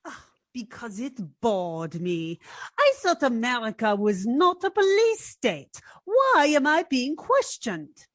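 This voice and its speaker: A woman's voice: on the high side, very animated, slow, no echo, clean studio sound, full character voice. An actress playing a proud, indignant young woman with a haughty, emphatic manner in an old-time radio detective drama. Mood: defiant. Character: proud, indignant young French woman, defiant femme fatale.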